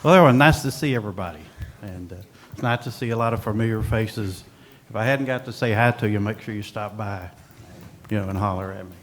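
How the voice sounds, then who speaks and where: A man presenting at a conference podium, relaxed and folksy. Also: lowish voice, fast talker, a little hiss or hum. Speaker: company executive presenting at a conference. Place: conference hall. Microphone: podium microphone. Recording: echoey conference hall.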